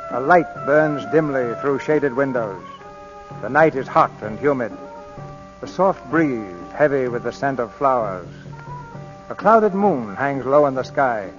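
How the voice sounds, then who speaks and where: Formal old radio host guy, mid pitch, muffled broadcast with faint music under it. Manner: stately, polished showman delivery. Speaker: radio drama host and producer. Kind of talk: formal introduction and scripted narration.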